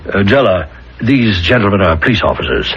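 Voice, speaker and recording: An actor playing a nervous, evasive lawyer with a hesitant, indignant way of talking, in 1970s radio drama style. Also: male voice, fairly low pitch, lively intonation, a little fast, a bit bright. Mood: flustered, indignant. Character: evasive, nervous male lawyer conducting a secret affair. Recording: dull, noisy old radio recording.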